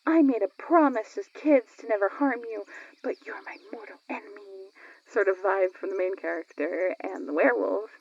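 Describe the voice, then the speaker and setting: Woman playing a husky, creaky-voiced young writer. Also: mid-range voice, darkish tone. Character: chatty, playful young woman writer recording a video log. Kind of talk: casual monologue, audio roleplay acting. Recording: dull, lo-fi sound.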